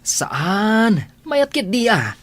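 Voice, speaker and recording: Animated, excitable Filipino buddy. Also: male voice, high voice, drawn-out words, exaggerated voice. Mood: scolding, surprised. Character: young man's frank, excitable male buddy. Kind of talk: Filipino radio drama acting.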